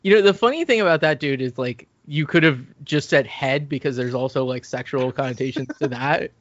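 A man's voice: mid-range voice, fairly quick, dry recording, lo-fi sound. A man hosting a podcast, recapping TV in casual, rambling, profane banter. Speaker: podcast host.

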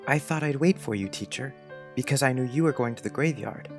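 A man's voice: medium pitch, fairly quick, soft background music, lightly acted. A man playing a sensitive, dreamy little schoolboy in a storybook, speaking in an earnest, wistful, confiding way.

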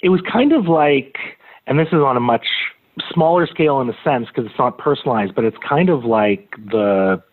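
A man hosting a conversational phone interview in a gentle, tentative and supportive way. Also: medium pitch, lively intonation, moderate pace. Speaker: host, interviewer. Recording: narrow phone-like sound.

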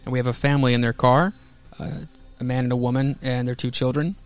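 A man's voice: mid-range voice, level tone, fast talker, clean tone, soft background music. An American man hosting a podcast, mixing theatrical comic-book narration with casual commentary. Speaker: podcast host.

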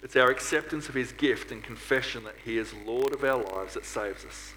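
A man delivers an earnest church sermon in a mid-pitched voice, posing rhetorical questions as he goes. The recording carries some background noise and a hum. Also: moderate pace, sharp tone, poor audio. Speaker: preacher at a church. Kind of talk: sermon to a congregation.

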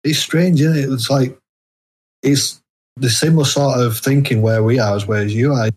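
Husky British man in casual conversation. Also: medium pitch, fairly even intonation, moderate pace, a little mellow. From United Kingdom. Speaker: interview guest, indie horror author. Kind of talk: casual chat.

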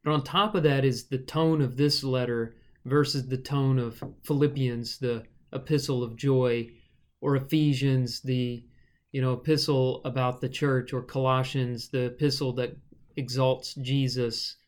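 A man's voice: mid-range voice, steady tone, a little slow. A man co-hosting a casual Bible study discussion, musing aloud in a rambling, thoughtful way with the odd joke. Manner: thoughtful, rambling, jokey asides. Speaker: podcast co-host.

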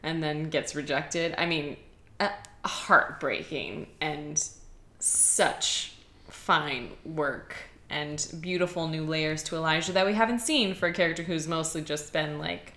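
Excited woman gushing about tv picks, lowish voice, roomy echo. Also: measured pace. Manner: enthusiastic, emphatic. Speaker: podcast co-host. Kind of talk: casual chat about television.

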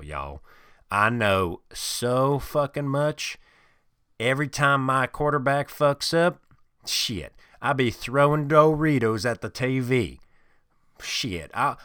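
A man voicing a crude, boastful, middle-aged couch football fan who rants loudly and swears a lot on a podcast. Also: mid-range voice, smooth, no room sound, studio quality. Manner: loud, swaggering, profane ranting. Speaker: podcast host in character. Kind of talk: improvised comedy character monologue.

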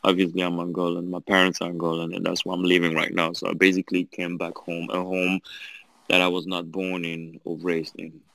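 A man's voice: low-pitched, monotone, brisk, creaky, bright, forceful tone, no room sound, rough recording. A man from Belgium and France with a French accent, chatting casually and jokingly as a podcast guest. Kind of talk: casual chat.

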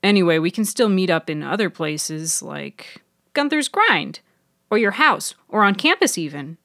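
A woman voicing a bright, wry young woman in a fiction podcast, articulate and emphatic. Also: on the low side, sing-song, unhurried, dry recording, clean studio sound, full character voice. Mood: playful. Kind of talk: audiobook character voice.

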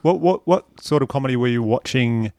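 A man's voice: medium pitch, lively intonation, a little fast, darkish tone, no room sound, clean studio sound. A man hosting an interview, asking curious questions and nodding along with short replies. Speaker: interviewer host. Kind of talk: casual interview.

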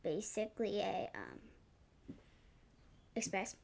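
A woman's voice: medium pitch, sing-song, takes it slowly, vocal fry, darkish tone. A woman co-hosting a podcast in casual, unscripted banter, cutting in with hesitant, contrary remarks. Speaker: podcast co-host.

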